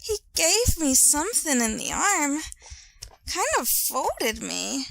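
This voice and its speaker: Actress as distressed captive wife, extremely high bright voice, drawn-out delivery, radio melodrama. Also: female voice, huge pitch swings, vocal fry, heavily acted, rough recording. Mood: dazed, groggy. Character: frantic, dazed married woman held captive. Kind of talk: 1930s-style radio thriller acting.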